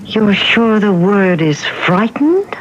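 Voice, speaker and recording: A woman's voice: medium pitch, sing-song, slow pace, full character voice. An actress playing a shrewd, persistent lady detective in an old-time radio mystery, polite, wry and probing, on a muffled, hissy broadcast. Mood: curious. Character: shrewd, persistent female police detective narrating her case. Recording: muffled vintage radio broadcast, clear hiss.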